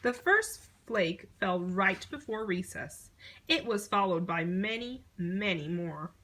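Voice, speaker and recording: A woman reading a children's picture book aloud in an expressive storytelling manner. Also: medium pitch, slow pace, low-quality recording. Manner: expressive storytelling, acting out short exclamations.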